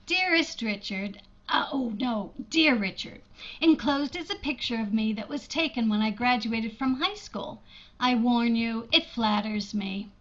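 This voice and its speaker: A woman's voice: a little high, lively intonation, measured pace, lo-fi sound. A woman playing a shy, lonely older sister from an old-time radio drama, hesitant, earnest and self-deprecating. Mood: shy, hopeful.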